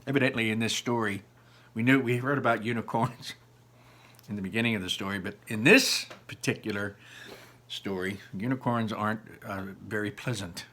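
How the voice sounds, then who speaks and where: Bright-voiced man reading a children's story aloud. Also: lowish voice. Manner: expressive, pausing to explain to listeners. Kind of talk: animated children's book read-aloud with chatty asides.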